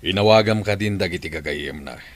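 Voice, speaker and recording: A man's voice: sits low, brisk, close to an everyday voice. A man acting a concerned, tender middle-aged father in an Ilocano radio drama.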